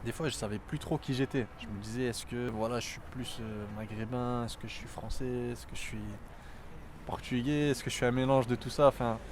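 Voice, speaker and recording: A man in his twenties from France, talking earnestly and reflectively in a documentary interview, often pausing to think. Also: medium pitch, fairly even intonation, background noise. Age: 20s.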